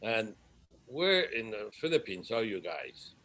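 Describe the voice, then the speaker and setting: A man's voice: fairly low pitch, big pitch swings, a little slow, fairly bright tone, poor audio. A man hosting a casual online interview, talking informally. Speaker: interview host.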